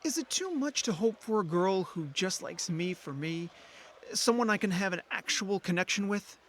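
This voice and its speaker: A man's voice: sits high, lively intonation, moderate pace, a bit bright, a little hiss or hum. An actor playing an ordinary, lonely young man in an audio drama, earnest and a little hesitant. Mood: resigned. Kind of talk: audio drama dialogue and first-person narration.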